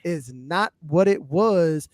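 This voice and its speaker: A man's voice: on the high side, lively intonation, slow, no echo, studio quality. A man co-hosting a podcast, casually debating hip-hop in an emphatic, explanatory way and checking in with short tag questions. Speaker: podcast co-host.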